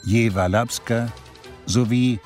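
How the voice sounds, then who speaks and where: A man's voice: medium pitch, fairly even intonation, slow, darkish tone, soft background music. A man reading scripted radio play credits as an announcer, composed and formal.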